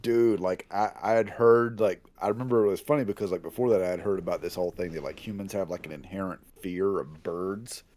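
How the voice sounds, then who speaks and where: A man's voice: low voice, steady tone, a little fast, few pauses, a little mellow. A man chatting casually and telling anecdotes in a very informal, exclamatory way, peppered with "like". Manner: informal, says "like" constantly, exclamatory.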